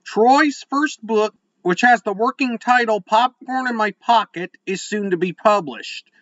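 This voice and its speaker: A man's voice: high-pitched, a little slow, fairly bright tone, muffled recording. A man hosting a podcast, reading a scripted introduction and asking questions from a list with hesitant "uh"s. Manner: reads from a list, hesitant "uh" between phrases. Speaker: podcast host.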